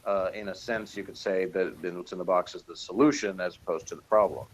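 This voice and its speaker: A man's voice: low-pitched, brisk, a little hiss or hum. A man co-reviewing a TV series in casual, unscripted conversation.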